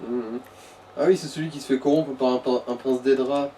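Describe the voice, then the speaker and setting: Dark mellow guy voice a bit away from the mic, short chatty game remarks. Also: mid-range voice, fairly even intonation, brisk, recorded from a distance. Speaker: co-commentator beside the player. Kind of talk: casual chat, brief interjections. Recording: muffled, off-mic, with background noise.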